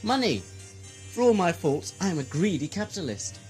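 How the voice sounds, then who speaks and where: A man's voice: high-pitched, sing-song, normal speed, darkish tone. A man playing a grandiose, greedy, gleefully murderous villain lord in an audio sci-fi drama, with a theatrical, mocking, mock-courteous delivery. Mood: smug, gleeful. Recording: music underneath.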